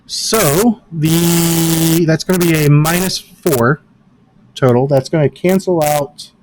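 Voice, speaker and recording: High-voiced man running a tabletop game. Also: slow, noisy background. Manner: methodical, explains rules patiently. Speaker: game master.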